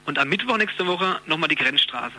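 A man's voice: fairly high pitch, expressive, moderate pace, bright, forceful tone, soft background music. A man giving an informal interview as a union youth representative, explaining things in a colloquial way.

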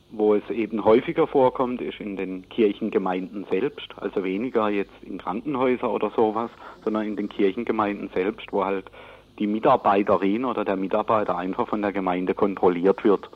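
Male interviewee, fairly low voice, informal and tentative, recorded with dull lo-fi sound. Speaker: interviewee from an advocacy group.

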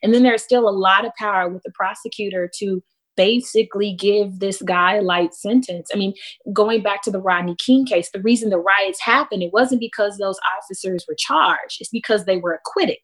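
American woman on a discussion panel, medium pitch, explains stuff carefully and stresses key words. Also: moderate pace, fairly bright tone, rough recording.

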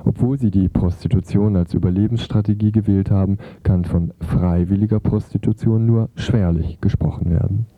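A man's voice: lowish voice, fairly even intonation, normal speed, few pauses, no room sound. A man narrating a scripted report as a radio reporter. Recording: dull, lo-fi radio recording.

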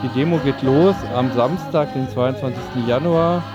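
A male radio host with a mid-range voice reads out announcements over clearly audible music. His phrasing is loose and a little halting, as if speaking without a full script. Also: mid-range voice, dull audio. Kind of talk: unscripted community radio announcements.

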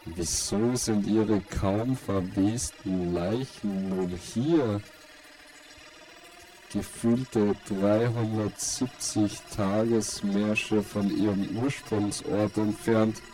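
A man narrating an experimental radio play in halting, fragmented short phrases. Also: lowish voice, fairly even intonation, warm tone, distant mic, faint music behind, low-quality recording.

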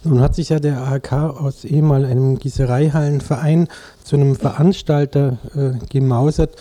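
A man's voice: medium pitch, fairly even intonation, moderate pace, dark tone. A man working as a radio interviewer, reading a prepared report and putting questions to a guest.

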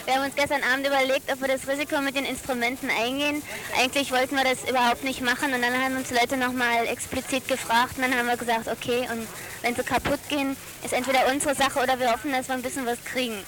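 A woman's voice: high voice, little pitch movement, fast talker, fairly bright tone, rough recording. A young woman answering interview questions earnestly at an outdoor protest. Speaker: flute player in a protest band.

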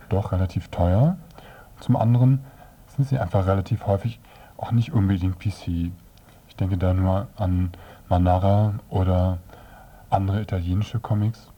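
A man presenting a scripted review on the radio as narrator, with measured, halting phrasing. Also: lowish voice, warm tone, husky.

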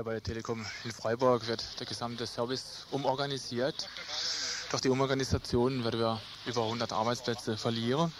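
A man giving a spoken interview statement as a staff representative, recorded with clear noise. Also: medium pitch. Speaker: staff council chairman. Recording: clearly noisy background.

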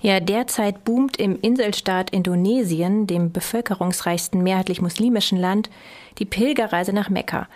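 A woman's voice: medium pitch, normal speed, a little mellow. A woman presenting a radio feature, reading scripted narration.

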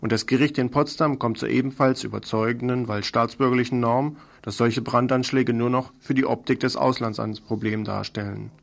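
A man's voice: medium pitch, fairly even intonation, normal speed, creaky, hardly any pauses. A man presenting a radio news programme, reading scripted reports.

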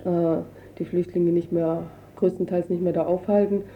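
Low-voiced woman, dull noisy recording. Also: level tone, normal speed. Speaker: interviewee, refugee council representative. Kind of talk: spontaneous interview explanation.

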